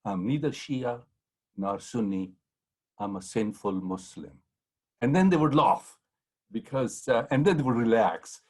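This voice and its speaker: A man's voice: mid-range voice, animated, a little slow, long pauses, slightly rough. A man, a historian on a panel, telling rambling anecdotes full of ums and restarts. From Pakistan. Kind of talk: anecdotal storytelling in a panel talk.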